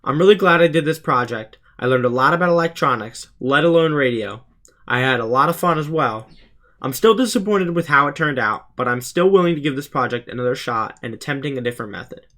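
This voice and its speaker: A man's voice: medium pitch, expressive, a little fast, sharp tone. A teenage male student narrating a scripted explainer for his video channel, earnest and plainly presented. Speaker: student YouTuber presenting a school project.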